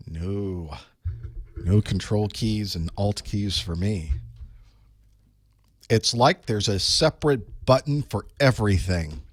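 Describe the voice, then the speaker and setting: A man with a hoarse voice from a cold, chatting casually to his live stream audience while he sets up for an online class. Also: on the low side, dry recording, clean studio sound. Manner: chatty, wry, talks to himself while setting up. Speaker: online course instructor. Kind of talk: casual pre-show chat to a live stream. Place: small studio desk.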